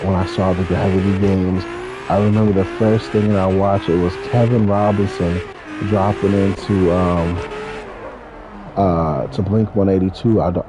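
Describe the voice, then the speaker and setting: A man, telling stories in a casual, rambling, slangy way with some swearing. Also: low voice. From California, United States. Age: 30s. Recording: noisy, with car engine sounds behind. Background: racing game car engines.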